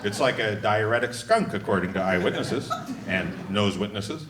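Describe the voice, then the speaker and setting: A man's voice: lowish voice, normal speed, echoey room, voice pushed down, natural voice. A man reading the part of a wisecracking small-town gas station owner at a live table read. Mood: deadpan. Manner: deadpan, mock-earnest. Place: festival stage before a live audience. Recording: loud crowd and room noise.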